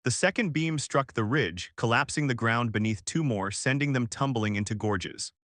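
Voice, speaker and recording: A man reading a scripted science fiction war story as its narrator, in a measured, formal storytelling manner. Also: mid-range voice, a bit bright, no room sound, clean studio sound.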